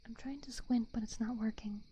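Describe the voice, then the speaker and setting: A woman streamer chatting softly and casually to viewers in a breathy, whispery ASMR style, gentle and playful, close to the microphone. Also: a little high, steady tone, brisk, slight background noise. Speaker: livestream host. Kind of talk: soft-spoken ASMR, casual chat with viewers. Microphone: close binaural ASMR microphone.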